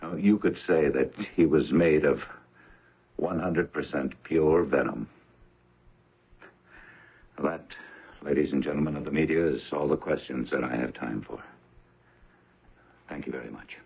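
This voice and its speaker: An actor playing a hard, commanding police chief in an old radio drama, with a gravelly, raspy voice. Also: male voice, very deep voice, unhurried, long pauses, heavily acted. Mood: cold, contemptuous. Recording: muffled old radio broadcast.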